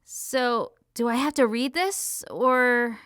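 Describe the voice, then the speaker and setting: A narrator voicing an excitable, easily frightened teenage girl in an audiobook adventure, with breathy, exclaiming delivery. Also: medium pitch, takes it slowly, pitched above the performer's own voice. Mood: curious, uneasy. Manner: shrieking, pleading, breathy exclamations.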